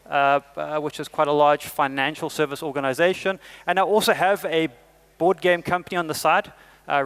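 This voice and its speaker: A middle-aged South African man delivers a conference talk in a fairly high voice. He leans on emphatic rises to stress key points. Frequent "uh" fillers break up his phrases. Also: fairly bright tone, clear, resonant tone. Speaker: conference speaker.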